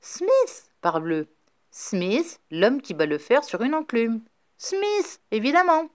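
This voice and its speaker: Woman putting on a frantic shouting reporter man. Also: wildly swooping pitch, brisk, breathy edge, full character voice. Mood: triumphant. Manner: shrill, shouting, breathless urgency. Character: frantic excitable male newspaper reporter.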